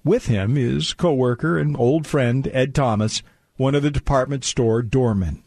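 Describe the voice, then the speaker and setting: A man acting as the genial narrator of an old-time holiday radio play, with polished, deliberate storyteller phrasing. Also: mid-range voice, darkish tone, no echo, clean studio sound. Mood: descriptive, storytelling.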